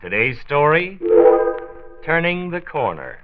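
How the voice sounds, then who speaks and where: An actor playing an authoritative county doctor who hosts and narrates a 1940s radio drama, formal and deliberate on an old recording. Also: male voice, a little high, big pitch swings, dull audio, loud music behind the voice. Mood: solemn, announcing.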